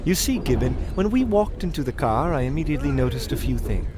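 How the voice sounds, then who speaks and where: An actor playing a pompous, melodramatic, absurdly self-assured detective in the theatrical style of old radio comedy. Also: male voice, medium pitch, big pitch swings, fast talker, a crowd in the background, close to an everyday voice. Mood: smug. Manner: theatrical, grandiose deductive declarations.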